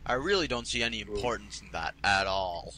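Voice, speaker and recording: A man's voice: fairly low pitch, animated, brisk, natural voice. A man voicing clueless, melodramatic characters in an amateur anime parody dub. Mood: baffled, casual. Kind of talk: comedic cartoon parody dubbing. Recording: noisy amateur voice recording.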